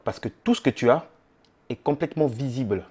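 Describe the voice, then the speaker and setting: A man's voice: medium pitch, lively intonation, a little fast, a little mellow, breathy edge. A young man from the Democratic Republic of the Congo hosting his own channel, talking casually to his viewers with frequent fillers and rephrasings. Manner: conversational, with many fillers and rephrasings. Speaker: YouTube channel host. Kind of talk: casual monologue to camera.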